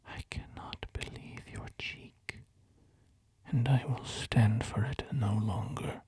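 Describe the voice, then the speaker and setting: A man in a slow, hushed whisper, voicing a jealous male devotee who dreams of his saint's poison. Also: on the low side, steady tone, husky, exaggerated voice. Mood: indignant.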